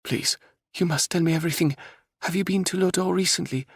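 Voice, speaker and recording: A man's voice: sits high, a little fast, husky, dry recording, clean studio sound, pitched above the performer's own voice. A man voicing a young princess who speaks with urgent, proud insistence.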